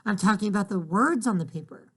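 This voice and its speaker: A man's voice: high-pitched, expressive, quick pace, a bit bright. A man reading as a stern, impatient mother. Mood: scolding. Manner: scolding, impatient. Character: stern, absent-minded mother, a writer.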